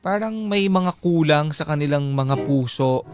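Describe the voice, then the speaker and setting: Playful male radio host, loud music. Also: fairly high pitch, measured pace. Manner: playful, campy slang, teasing. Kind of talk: casual radio banter. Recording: loud music bed under radio talk.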